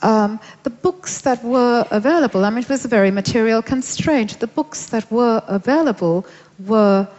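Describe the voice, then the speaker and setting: A woman's voice: on the high side, normal speed, hardly any pauses, darkish tone. A woman from Sri Lanka, a novelist, answering questions in an onstage festival conversation, reflective and hesitant with many fillers. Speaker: novelist, interviewee. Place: large festival hall on stage. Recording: hall reverb, dull lo-fi sound.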